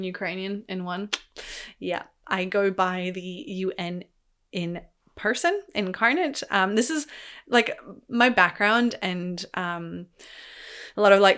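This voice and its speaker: A woman's voice: lowish voice, fairly bright tone, clear, resonant tone. A young woman hosting a solo podcast, with an international, American-leaning accent with a slight New Zealand twang, talking in a rambling, chatty way, full of fillers and easy laughs. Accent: American-leaning international English with a slight New Zealand twang. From born in Poland, grew up in Germany. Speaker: podcast host. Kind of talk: casual solo podcast monologue.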